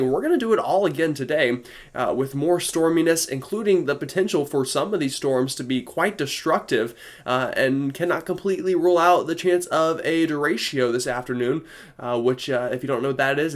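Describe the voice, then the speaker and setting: A young man in casual forecast mode, stuffed up from a cold. He rambles through the weather with plenty of fillers and restarts. His voice sits at an ordinary middle pitch. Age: young adult. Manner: chatty, many fillers. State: congested from a cold.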